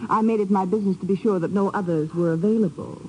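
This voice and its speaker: A woman's voice: medium pitch, fast talker, warm tone, lightly acted, poor audio. A woman playing a cool, teasing, self-assured reporter in a 1950s radio drama. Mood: sly, teasing. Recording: old radio broadcast, faint noise.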